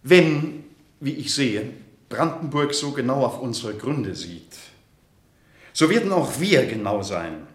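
Ein Schauspieler spielt in einem deutschen Hörspiel einen unnachgiebigen sächsischen Gesandten, der kühl, förmlich und beharrlich spricht. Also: male voice, mid-range voice, big pitch swings, takes it slowly. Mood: cool, threatening. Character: unyielding, legalistic male envoy of Saxony. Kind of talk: German radio play acting. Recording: roomy, echoing chamber sound.

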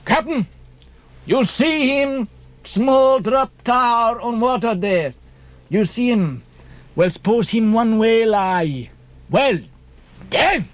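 A man's voice: very high voice, animated, slow, pauses often, no echo, voice pushed up, heavily acted. A man voicing a proud, wild foreign harpooneer who speaks terse broken English in an audiobook. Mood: bold.

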